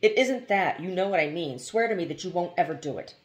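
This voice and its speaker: A woman's voice: on the low side, animated, brisk, close to an everyday voice. Woman reading stern, pleading farmer brother. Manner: harsh, pleading, emphatic.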